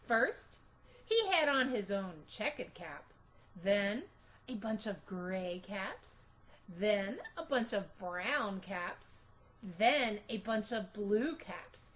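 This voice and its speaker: A woman reads a picture book aloud for young children. Her voice is high and very lively in pitch, and she takes her time. She places her emphasis dramatically and expressively. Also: slow. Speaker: storytime reader. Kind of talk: animated children's read-aloud narration.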